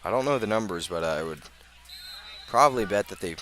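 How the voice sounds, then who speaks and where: An American man calling live high school football on the radio, measured between plays and emphatic on the big ones. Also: expressive, fairly bright tone. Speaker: play-by-play announcer.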